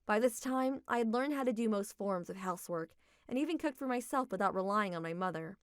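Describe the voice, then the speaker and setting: A young adult woman narrating a manga dub story in a steady, reflective reading. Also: mid-range voice, a little fast, clear, resonant tone, dry recording, clean studio sound.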